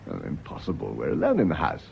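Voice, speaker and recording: An actor playing an earnest British schoolmaster and lover, persuasive and reassuring with a nervy edge, in a radio drama. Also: male voice, extremely low pitch, wildly swooping pitch, fast, vocal fry, a little mellow, heavily acted. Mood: coaxing, impatient. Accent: British English. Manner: persuasive, reassuring, by turns tender and exasperated. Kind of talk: British stage-style radio drama acting. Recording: dull, hissy old radio recording.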